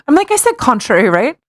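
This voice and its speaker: A woman's voice: high voice, sing-song, normal speed, no room sound, studio quality. A woman co-hosting a podcast, reading aloud mockingly with playful, casual banter and a tendency to stumble over words. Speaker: podcast co-host.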